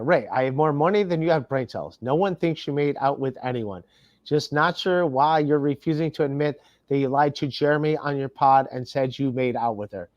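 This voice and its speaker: A man hosts a podcast in loose, profane chat, rambling as he reads out viewer comments. His voice sits in a middle pitch. It is recorded close and dry, with no room sound. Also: a little mellow, dry recording. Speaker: podcast host. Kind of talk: casual chat reading super chats aloud.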